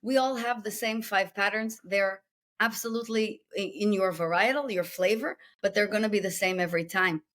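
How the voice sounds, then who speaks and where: A woman's voice: mid-range voice, a bit bright. A woman with an Israeli accent, a coach being interviewed, explaining her method with rhetorical questions and listed steps. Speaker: guest executive coach.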